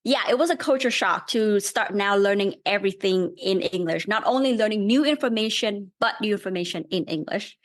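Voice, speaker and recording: Brief: woman in her 30s, Vietnamese accent, mid-pitched, patient and friendly, unpacks ideas step by step. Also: fairly quick, few pauses. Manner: friendly, thoughtful, explains things step by step. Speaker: guest real estate investor. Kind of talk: casual podcast interview.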